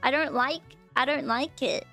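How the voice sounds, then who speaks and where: A high, bright voice from a young woman. She chats casually like a livestream host, hesitating and doubling back on phrases. Soft music sits underneath. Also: normal speed. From Australia. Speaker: streamer, VTuber host.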